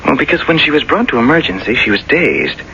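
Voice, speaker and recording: Tactful, hesitant doctor, bright gravelly voice, confiding radio drama delivery, muffled recording. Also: male voice, mid-range voice, very animated, slight background noise, full character voice. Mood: hesitant concern. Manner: halting, careful, confiding. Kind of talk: 1970s radio drama acting.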